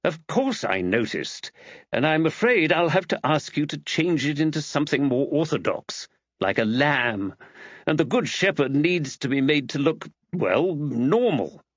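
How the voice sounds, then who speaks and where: An earnest, oblivious man, voiced by a narrator speaks emphatically with animated pitch and mild exasperation. It is audiobook dialogue, with slightly dull sound. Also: measured pace, no echo. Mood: firm.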